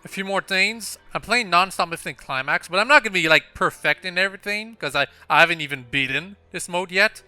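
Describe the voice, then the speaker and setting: A man's voice: high-pitched, brisk, hardly any pauses, bright, forceful tone, no room sound. A man hosting a Let's Play, giving casual, off-the-cuff commentary on a video game. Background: game music.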